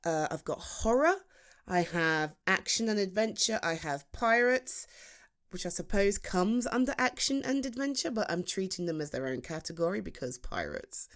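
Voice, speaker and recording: A woman's voice: mid-range voice, normal speed. A British woman playing a playful, chatty female best friend in a humorous, conversational ASMR roleplay. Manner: animated, conversational, teasing, with laughs and sighs.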